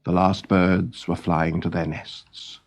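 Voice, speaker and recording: A man reading a scripted children's story in a measured, expressive storytelling manner. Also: low-pitched, gravelly.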